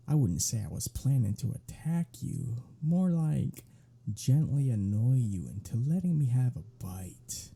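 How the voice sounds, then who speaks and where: A man playing a sly, flirtatious vampire in an ASMR roleplay, with a sardonic, teasing drawl that often drops to breathy murmurs. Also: medium pitch, exaggerated voice. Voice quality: husky, breathy, dark. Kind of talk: ASMR roleplay audio drama.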